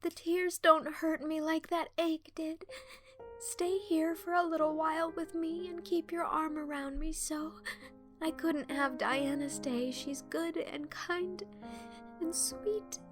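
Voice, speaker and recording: A woman's voice: extremely high pitch, fairly even intonation, takes it slowly, music in the background, voice pushed up, heavily acted. A woman voicing a tender, grieving teenage girl in a storybook reading, earnest and wistful. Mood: sobbing grief. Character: grieving, tender, dreamy orphan girl in her teens.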